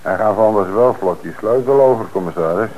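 A man plays an eager detective in an old radio play, with a lowish voice and a chatty, enthusiastic delivery. Faint hiss sits behind him. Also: brisk, dull audio, natural voice. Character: eager male police detective. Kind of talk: radio drama acting.

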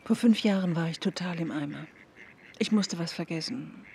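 An actress playing an earnest, intense woman with spiritual convictions in a radio drama, insistent and at times hushed. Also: on the low side, moderate pace, vocal fry, a little hiss or hum. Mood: earnest, confiding. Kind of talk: naturalistic radio drama dialogue.

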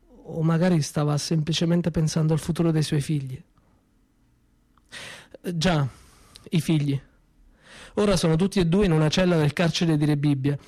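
Guy telling a sad stage story, slow and grave, fairly high but mellow tone. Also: no room sound, studio quality. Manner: measured, grave storytelling with dramatic pauses. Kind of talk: theatrical monologue narration.

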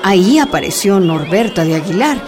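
A woman narrating a scripted radio drama in a measured storytelling manner. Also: mid-range voice, expressive, crowd noise.

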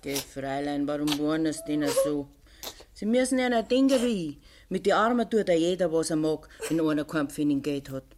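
A woman's voice: deep voice, expressive, fairly quick, background noise. An actress playing a worldly-wise, bitter, poor Bavarian woman in a lockup, earthy and sardonic, in a 1950s radio drama. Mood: consoling. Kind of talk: 1950s German dialect radio drama acting.

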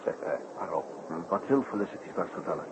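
A man playing a composed, wryly amused investigator in a 1960s radio drama, musing in an unhurried way. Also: sits low, sing-song. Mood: inquiring. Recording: very noisy 1960s radio recording.

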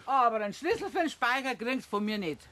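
A woman's voice: fairly high pitch, animated, fast, a bit bright. A woman playing a sceptical, stubborn female building caretaker with a Bavarian accent in a radio play, firm and disapproving. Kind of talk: German children's radio play acting.

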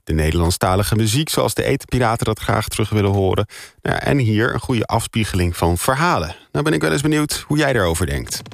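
A man's voice: low-pitched, vocal fry. A man with a Dutch accent from the Netherlands, presenting radio conversationally and addressing listeners directly in an informal way. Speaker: radio host.

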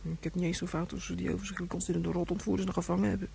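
An actress playing a headstrong, excitable young boy with insistent, overblown childish speech in a radio play. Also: on the low side, very fast, vocal fry, slight background noise. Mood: sulky, stubborn. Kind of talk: Dutch radio play acting.

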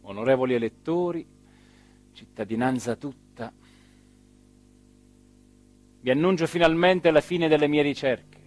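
An actor playing a weary, brooding village man in a theatrical monologue, speaking solemnly and deliberately with dramatic pauses. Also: on the high side, lightly acted. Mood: declamatory, bitter. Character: weary, brooding village man, mayoral candidate addressing voters. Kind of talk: theatrical monologue, literary narration.

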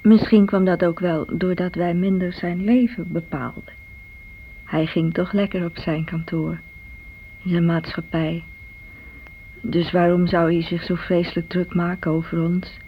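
An actress playing a calm, reflective grown daughter, speaking in a measured, matter-of-fact radio play monologue on an old recording. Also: female voice, fairly low pitch. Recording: muffled radio-play recording with faint hum.

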